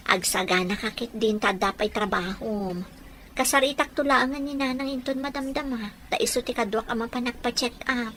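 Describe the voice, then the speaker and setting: An actress with an Ilocano Filipino accent playing a young pregnant wife, weary and slightly petulant, in a radio drama. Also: female voice, a little high, moderate pace, crowd noise, close to an everyday voice. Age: young adult.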